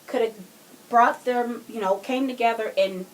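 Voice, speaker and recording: A woman's voice: a little high, normal speed, low-quality recording. A woman co-hosting a podcast, talking casually and emphatically as she argues her points in a true crime discussion. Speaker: podcast co-host.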